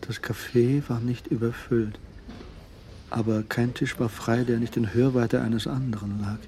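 A man's voice: mid-range voice, steady tone, measured pace, mellow, close to an everyday voice. A man acting as the anxious narrator of a suspect's thoughts in a crime radio play. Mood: anxious suspense.

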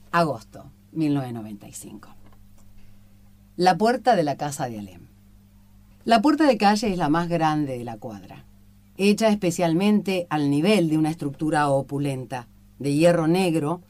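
A woman's voice: fairly low pitch, darkish tone, no room sound. Middle-aged argentine lady hosting a radio interview show, talks conversationally. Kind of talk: conversational interviewing and reading aloud.

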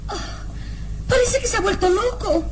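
A woman's voice: very high voice, moderate pace. An actress playing a bewildered young lady, theatrical and exclamatory, in a radio serial. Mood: bewildered, concerned. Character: beautiful young woman, bewildered, dramatic, concerned. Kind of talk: old radio serial acting.

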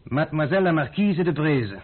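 Insolent young gentleman for radio drama; husky, animated, dry and unimpressed, muffled vintage recording. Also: a little high, brisk. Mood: cool, brisk. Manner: polite, dry, mocking and unimpressed. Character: cool, insolent, stubborn young Dutch gentleman adventurer. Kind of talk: Dutch radio drama acting. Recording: muffled, band-limited old radio recording.

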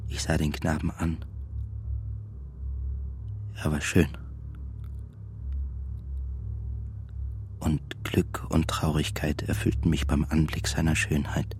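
An actor playing a tormented, melancholy man in a radio play monologue, brooding and deliberate, at times nearly whispering. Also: very deep voice, little pitch movement, a little mellow, slight background noise. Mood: wistful, sad. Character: tormented, melancholy man confessing desire and self-loathing. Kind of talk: literary first-person monologue in radio play.

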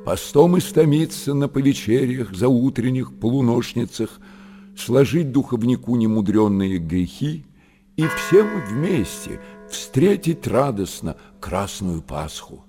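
An actor narrating a monastery tale in a radio play, lyrical and measured, with dramatic pauses. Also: male voice, medium pitch, animated, mellow, soft background music. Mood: lyrical, reverent.

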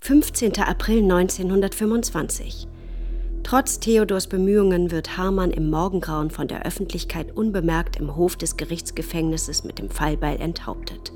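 A woman's voice: fairly low pitch, fairly even intonation, normal speed, darkish tone, faint music behind. A woman narrator reading scripted documentary drama in a factual, formal way.

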